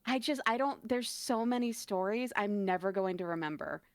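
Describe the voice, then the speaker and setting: A woman's voice: medium pitch, expressive, normal speed, no room sound, clean studio sound. A woman chatting casually as a livestream guest, with mock-indignant, playfully stubborn banter and casual swearing. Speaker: livestream guest, podcast voice performer.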